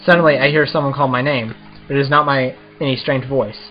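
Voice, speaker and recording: A man's voice: medium pitch, steady tone, a little fast, dull audio. Man reading and reacting over music. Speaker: gaming video host. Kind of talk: casual let's play, reading visual novel text aloud and commenting.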